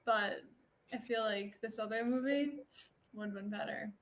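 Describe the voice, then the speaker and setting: A woman's voice: a little high, moderate pace. A woman chatting casually and informally as a podcast co-host. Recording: clearly audible background noise.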